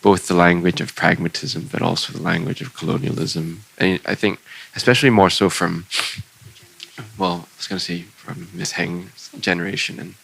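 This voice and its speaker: A young man, a poet speaking on a festival panel, measured and reflective, into a handheld mic in a large hall. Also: deep voice, level tone, gravelly, a bit bright. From Singapore. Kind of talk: panel conversation. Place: large bright public hall indoors. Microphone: handheld microphone.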